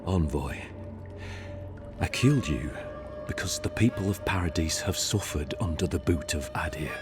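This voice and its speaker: A man's voice: low voice, lively intonation, moderate pace. A man playing a solemn, honorable assassin and devout zealot in a video game, speaking with grave, formal earnestness. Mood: grave, earnest. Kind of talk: dramatic video game dialogue. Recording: game music behind the voice. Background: music.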